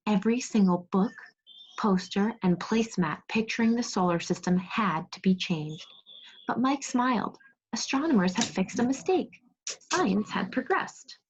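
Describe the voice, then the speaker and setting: A woman teaching second grade over a video call, reading aloud, giving clear instructions and prompting pupils with questions. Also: mid-range voice, expressive, normal speed, slight background noise. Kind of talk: interactive read-aloud lesson on video call. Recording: dull, muffled video call audio.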